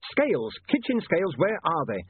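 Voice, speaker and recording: A man's voice: fairly high pitch, huge pitch swings, moderate pace, breathy edge, dry recording, pitched above the performer's own voice, heavily acted. A man voicing an earnest, frantic, educated young newspaperman with an urgent, insistent manner in an audiobook. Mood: desperate. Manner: urgent, insistent, hesitant at times. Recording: muffled narrow-band, phone-like radio sound.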